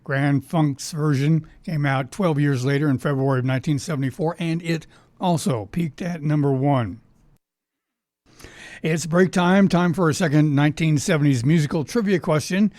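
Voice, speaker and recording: A man with a slightly high voice hosts a casual oldies trivia program on the radio. He talks in a folksy, conversational way, and the sound is dry and close, with little room in it. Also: dark tone, no room sound. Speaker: radio show host. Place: radio studio.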